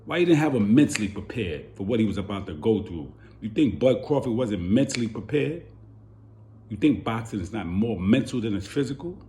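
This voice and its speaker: Hoarse man ranting about boxing. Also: fairly low pitch, animated, a little fast, dark tone, slight background noise. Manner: heated, repeating rhetorical questions. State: hoarse from yelling. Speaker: boxing channel host. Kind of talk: animated boxing commentary rant.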